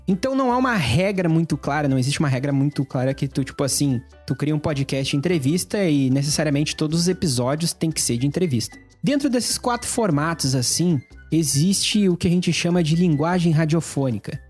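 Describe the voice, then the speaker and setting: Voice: mid-range voice, a little mellow, slightly rough. A host with a Brazilian accent, explaining things in an informal, conversational tutorial style. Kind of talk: casual explainer to camera.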